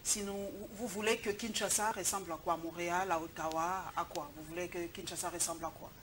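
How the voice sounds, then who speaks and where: A woman's voice: fairly low pitch, fairly even intonation, fairly quick, fairly bright tone, a little hiss or hum. A woman hosting a TV news show and pressing her guest with pointed questions. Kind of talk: TV studio interview and news presentation.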